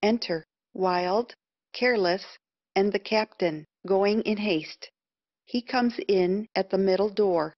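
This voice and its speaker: A woman's voice: mid-range voice, slow pace, no room sound. A woman giving scripted narration, reading stage directions. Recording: dull, dry lo-fi audio.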